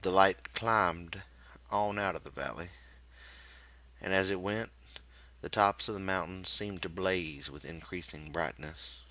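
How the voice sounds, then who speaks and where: A man reading literary fiction aloud as an audiobook narrator, with measured, deliberate phrasing. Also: sits low, pushed and bright. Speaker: audiobook reader.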